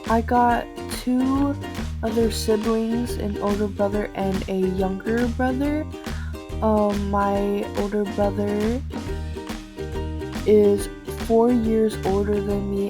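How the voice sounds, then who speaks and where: A teenage girl narrating her own personal documentary in a halting, phrase-by-phrase way. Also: mid-range voice, steady tone. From United States, moved to Houston from Vietnam in early childhood. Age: teens. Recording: music behind the voice.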